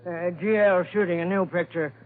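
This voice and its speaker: An actor playing a polite, businesslike Hollywood producer's assistant in an old radio drama, explaining things a little hesitantly. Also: male voice, high-pitched, steady tone, normal speed, natural voice. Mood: matter-of-fact. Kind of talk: 1940s-50s radio drama acting. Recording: muffled old radio recording, music behind.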